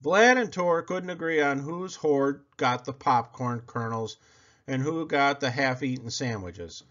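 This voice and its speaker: Very animated man reading a children's storybook. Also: medium pitch. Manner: theatrical, expressive delivery with drawn-out words. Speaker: storybook reader. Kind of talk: animated children's read-aloud. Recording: slightly dull, lo-fi audio.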